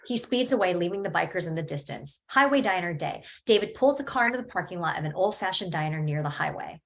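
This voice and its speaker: A woman reading stage directions at a table read in a plain, even way. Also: on the low side, fast talker, gravelly.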